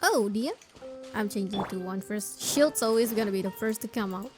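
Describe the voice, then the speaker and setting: A woman's voice: mid-range voice, normal speed, darkish tone. A woman doing casual, chatty gameplay commentary in informal non-native English. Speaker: game streamer. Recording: game music behind the voice. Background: video game music.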